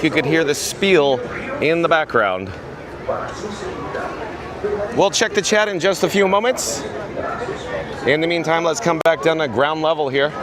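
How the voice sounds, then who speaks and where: A man with a hoarse, raspy voice hosting a chatty live stream outdoors at a theme park and talking into a handheld phone. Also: fairly high pitch. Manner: upbeat, chatty, reads chat aloud. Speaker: vlog host.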